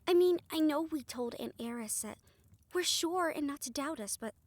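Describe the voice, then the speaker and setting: A woman's voice: sits high, animated, normal speed, darkish tone, no room sound. A woman playing a defiant, determined young woman in a fantasy audio roleplay, earnest and sardonic when challenged. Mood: tense, resolute.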